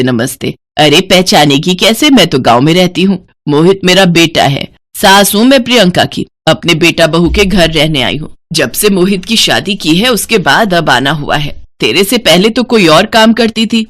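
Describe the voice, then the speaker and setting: A woman's voice: fairly low pitch, expressive, moderate pace, hardly any pauses, fairly bright tone. Woman doing a bossy, nagging village mother-in-law. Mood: nosy, bossy. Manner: scolding, blunt. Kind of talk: moral story cartoon voice acting.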